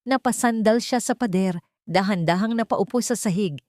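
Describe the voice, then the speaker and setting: A woman's voice: mid-range voice, moderate pace, a little mellow, dry recording, clean studio sound. A woman narrating a scripted dramatic story.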